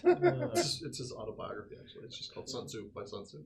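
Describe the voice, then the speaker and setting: Animated man in casual roleplay banter. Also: medium pitch, fast talker, creaky. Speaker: player in a tabletop roleplaying game.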